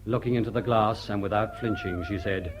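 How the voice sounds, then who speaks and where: Dryly witty English butler, animated. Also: male voice, lowish voice, brisk, music in the background. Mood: dry, gossipy. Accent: upper-class English. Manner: formal, dry, deadpan wit. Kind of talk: British radio drama acting.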